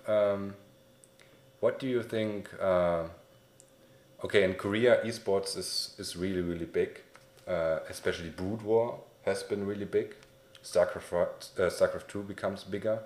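Young German-accented man, deep voice, many fillers, echoey room. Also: fairly even intonation, unhurried, pauses often, a little mellow. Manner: friendly, hesitant with many fillers. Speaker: interviewer. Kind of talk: casual interview. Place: small indoor room, sitting on a couch.

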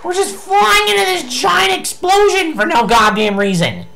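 A young man giving angry, swearing commentary while playing a video game, his voice going hoarse. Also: extremely high pitch, lively intonation, moderate pace, pushed and bright, faint music behind. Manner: ranting, swearing, frustrated outbursts. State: losing his voice. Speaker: gameplay streamer.

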